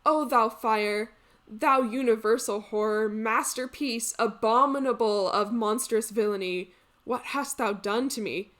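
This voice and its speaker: A woman playing a betrayed, wretched male exile in a Greek tragedy monologue, declaiming archaic lines in drawn-out anguish. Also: fairly high pitch, a bit bright. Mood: anguished, pleading. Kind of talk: theatrical classical tragedy monologue.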